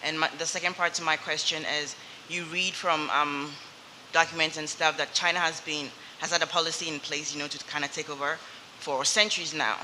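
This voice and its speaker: Female audience member, non-native English, hesitant, bright voice, echoey hall with hiss. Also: sits low, fairly even intonation, brisk. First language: not English. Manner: hesitant, searching for words. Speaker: audience member asking a question. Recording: distant hall pickup with faint hiss.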